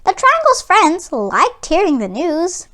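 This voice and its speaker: Woman doing a kids read aloud, high voice, really singsong and expressive. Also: takes it slowly. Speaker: children's book reader.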